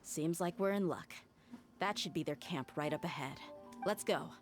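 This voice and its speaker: A woman's voice: low-pitched, moderate pace, close to an everyday voice. A woman voicing a tough, confident female mercenary in an anime game, blunt and commanding. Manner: blunt, threatening, assured. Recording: game music behind the voice.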